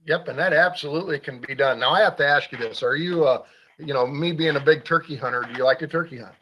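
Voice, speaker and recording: A man's voice: fairly high pitch, muffled recording, slight background noise. A man hosting a hunting talk show, talking casually with lots of fillers and restarts. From Iowa. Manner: halting, many fillers and restarts. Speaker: radio show host.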